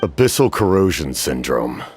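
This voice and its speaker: A man playing a stoic, honourable ancient warrior captain in a video game, speaking gravely and formally. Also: a bass voice, big pitch swings, normal speed. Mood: grave, measured. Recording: music behind the voice. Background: game background music.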